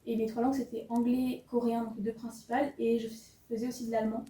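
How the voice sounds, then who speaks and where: Young woman, quick flat delivery, mellow tone, echoey distant room. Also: on the high side, slight background noise. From southern France. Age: 20s. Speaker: master's student. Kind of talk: casual podcast chat. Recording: echoey room, mic far off.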